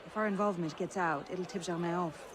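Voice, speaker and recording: A man's voice: high voice, steady tone, fast talker, close to an everyday voice. A man playing a shrewd, confident conspirator in a game cutscene, giving clipped, wry instructions. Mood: plotting, confident. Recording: noisy crowd behind, outdoors.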